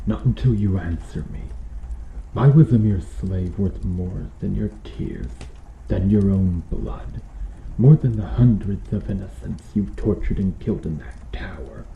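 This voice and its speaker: A man playing a cruel, vengeful medieval knight in an ASMR roleplay, speaking in formal archaic phrases with menacing, deliberate intensity. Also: deep voice, creaky. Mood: menacing, demanding. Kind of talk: ASMR roleplay, slow dramatic monologue. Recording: muffled, roomy sound with background noise.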